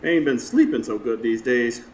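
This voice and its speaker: A man's voice: medium pitch, moderate pace, a little mellow, a little hiss or hum, lightly acted. A man acting as a jovial, teasing, worn-out friend in a radio drama. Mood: amused, teasing.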